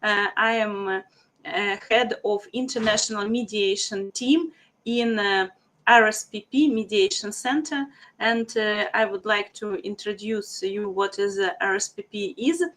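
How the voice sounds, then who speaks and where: A woman from Russia whose first language is Russian, a professional mediator, introducing herself haltingly with many fillers. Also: medium pitch, fairly even intonation, fairly bright tone. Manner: hesitant, frequent uh fillers. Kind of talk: panel self-introduction.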